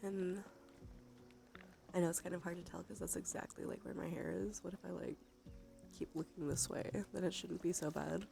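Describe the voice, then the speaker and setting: Woman, livestream host, casual ASMR chat, fry in the voice, breathy, half-whispered, faint background music. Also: lowish voice, steady tone, normal speed, dark tone.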